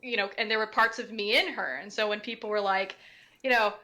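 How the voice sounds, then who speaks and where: A woman's voice: medium pitch, steady tone, brisk, bright, forceful tone. A woman voice actor chatting in a group commentary, reflective, feeling out her phrases as she goes. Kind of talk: casual group commentary discussion.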